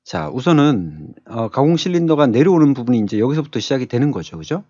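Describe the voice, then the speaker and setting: A man's voice: medium pitch, very animated, quick pace, dark tone, dry recording. A man giving a step-by-step tutorial as an instructor, thinking aloud conversationally and often checking in with short tag questions. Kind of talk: screen tutorial, explaining step by step. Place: indoors, screen-capture voice-over. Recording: dull lo-fi close voice-over.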